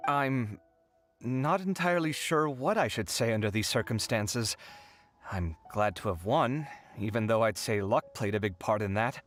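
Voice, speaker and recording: A man playing a weary, proud young genius in a video game, with expressive, hesitant delivery. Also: on the low side, normal speed, faint music behind, close to an everyday voice. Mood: reluctant, awkward.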